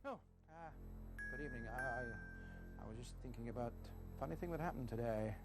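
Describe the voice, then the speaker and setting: An actor plays a troubled man in a nineties screen drama. His medium-pitched voice is evasive and clipped, with a prominent soundtrack score behind it. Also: a little fast, lightly acted. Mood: awkward. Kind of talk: 1990s screen drama acting. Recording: film soundtrack with music.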